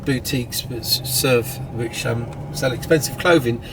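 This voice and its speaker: A man's voice: mid-range voice, measured pace, vocal fry. A British man chatting and joking as a passenger on a car trip, teasing his partner while describing the town they drive through. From United Kingdom. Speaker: vlogger. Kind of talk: casual travel vlog chat. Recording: noisy car recording.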